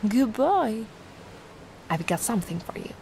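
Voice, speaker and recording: A giant mermaid girl, acted by a woman in an ASMR roleplay, with a high voice that swoops and lilts widely. She is bubbly and affectionate, cooing and gushing in a cutesy way. Ambient noise runs clearly behind her. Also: very animated, a little slow, warm tone, exaggerated voice, rough recording. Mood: sweet, caring. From Italy. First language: Italian. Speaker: roleplay performer.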